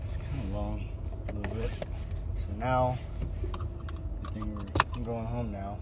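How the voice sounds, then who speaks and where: A man chatting casually in a rambling vlog, speaking haltingly and often pausing mid-sentence. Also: fairly low pitch, steady tone. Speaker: vlogger. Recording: loud vehicle and outdoor noise.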